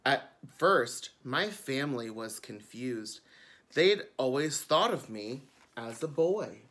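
Male drag queen, very slow pace, forceful bright tone, expressive children's storytime reading. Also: medium pitch. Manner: deliberate, expressive read-aloud with chatty asides. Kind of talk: children's picture-book reading. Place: indoors before a curtain.

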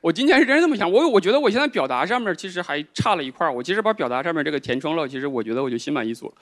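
A man from Northeast China performing a stand-up set, delivering quick, animated deadpan punchlines on a stage mic. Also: on the high side. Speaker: stand-up comedian. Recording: stage mic with audience noise.

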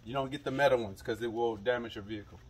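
A man's voice: medium pitch, fast talker, breathy edge. A young man hosting a fishing channel, casually walking viewers through a hands-on demonstration outdoors in a suburban driveway. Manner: relaxed, instructive, addresses viewers directly. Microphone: camera mic, moving toward and away.

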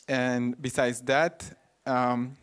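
A man's voice: medium pitch, level tone, very slow, a bit bright, clear, resonant tone. A man from Peru, a native Quechua speaker, earnestly introducing himself in a short video.

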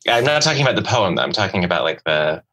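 A man co-hosting a literary podcast from a video call, talking in a halting way with many restarts. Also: sits low, sing-song, bright, forceful tone, poor audio. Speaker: podcast co-host. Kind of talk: casual literary discussion. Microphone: remote video call.

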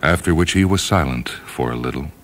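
A man reading a science fiction story as solemn, measured, dramatic narration. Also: rumbling low, slight background noise. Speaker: short story reader. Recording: voice over background music. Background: orchestral and piano music.

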